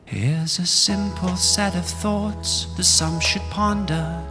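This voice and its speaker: Man singing a slow folk song. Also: high-pitched, steady tone, over music. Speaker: songwriter.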